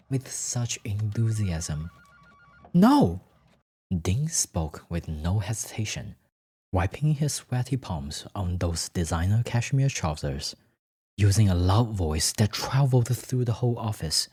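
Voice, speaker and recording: A man's voice: lowish voice, darkish tone, husky. A man narrating a scripted, melodramatic story.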